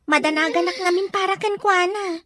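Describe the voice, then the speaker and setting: A very high, girlish young woman's voice from an actress apologizes with concern as a loyal friend. The sound is dry and close, in radio drama style. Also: steady tone, normal speed, a bit bright, no echo. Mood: apologetic, worried. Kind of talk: Ilocano radio drama acting.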